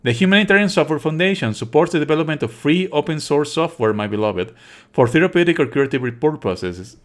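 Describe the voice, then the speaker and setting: A man's voice: on the high side, lively intonation, fairly quick. A man streaming a let's play, reading on-screen text aloud and adding sarcastic, exasperated asides. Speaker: streamer playing a visual novel.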